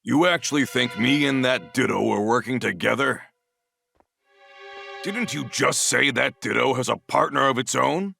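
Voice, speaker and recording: Gravelly, blustering police chief. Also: male voice, medium pitch, normal speed, sharp tone, faint music behind. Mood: defensive. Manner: blustering, dismissive. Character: defensive, indignant, corrupt police chief, grown man. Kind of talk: dramatic video game cutscene acting.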